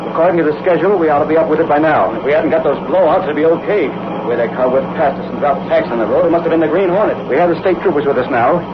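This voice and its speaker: Earnest businessman, played by a male actor, old radio crime serial: slightly high voice, brisk delivery, muffled recording. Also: background noise, natural voice. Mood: worried. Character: earnest businessman, owner of a meat truck fleet. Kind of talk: 1930s-40s radio drama acting.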